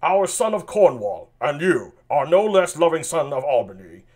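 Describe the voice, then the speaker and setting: A man's voice: on the high side, very animated, moderate pace, darkish tone. A man voicing an aging king in a play reading, speaking in a stately, declaiming way. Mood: regal. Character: aging male king, grand and weary.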